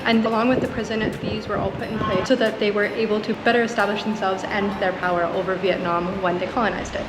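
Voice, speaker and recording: A woman's voice: medium pitch, flat delivery, quick pace, noisy background. A young adult woman giving casual travel vlog narration. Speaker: travel vlogger.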